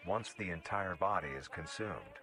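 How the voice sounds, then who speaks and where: A man reading scripted documentary-style narration in a formal, measured, explanatory manner. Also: low-pitched, lively intonation. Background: background music.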